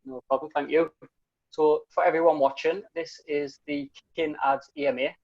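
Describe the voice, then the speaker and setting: A man's voice: on the high side, fairly even intonation, moderate pace, lo-fi sound. A young man from the UK with a broad British accent, reading out community questions over a video call with a friendly manner and lots of ums. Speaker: community council member asking questions. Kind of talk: casual video call interview. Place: small room at home. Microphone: earphone headset on video call.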